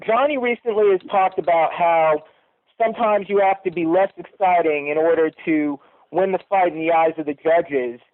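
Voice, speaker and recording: A man's voice: a little high, normal speed, no echo, phone-quality sound. A man asks long-winded reporter's questions over a telephone conference line, full of asides. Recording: thin phone conference line.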